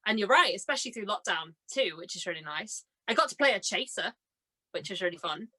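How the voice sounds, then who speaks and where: A young adult woman chatting casually and telling anecdotes in a bubbly, excitable manner, often saying "like". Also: mid-range voice, fairly quick, husky. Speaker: guest, amateur voice actor.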